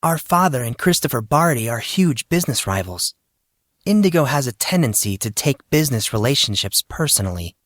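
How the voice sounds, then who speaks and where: A man voicing a calm, firm teenage girl, an elder sister with a composed, corrective manner in an audio story. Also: medium pitch, a little fast, no room sound, clean studio sound. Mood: matter-of-fact.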